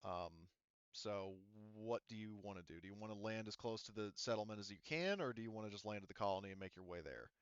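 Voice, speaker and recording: A man's voice: on the low side, fast, clear, resonant tone, no echo. A man narrating as game master for a tabletop game, rambling with many fillers and restarts, heard over dull, lo-fi call audio.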